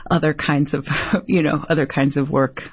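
A Brazilian-born woman poet answering interview questions thoughtfully, with many pauses and fillers. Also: low-pitched, steady tone, breathy edge, no echo. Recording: dull, dry lo-fi sound.

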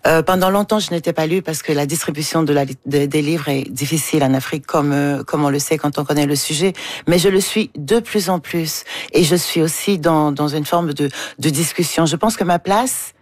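Une femme, autrice invitée, qui répond de façon réfléchie avec des hésitations. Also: female voice, sits low, moderate pace, darkish tone, dry recording, studio quality. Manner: thoughtful, frequent hesitations. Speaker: guest author. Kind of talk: interview answers.

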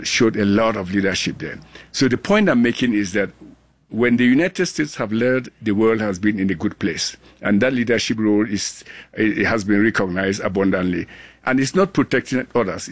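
A man's voice: fairly low pitch, no echo. A man in his sixties from Cameroon, a global health scientist, speaking earnestly in an interview with many restarts. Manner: earnest, halting with frequent restarts. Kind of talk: conversational interview.

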